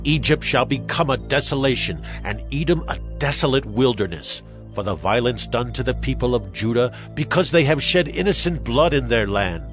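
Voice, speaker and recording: An actor playing a solemn Hebrew prophet in a dramatized scripture reading, declaiming with grave emphasis. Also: male voice, medium pitch, animated, normal speed, hardly any pauses, close to an everyday voice. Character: solemn male Hebrew prophet proclaiming judgment and promise. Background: music.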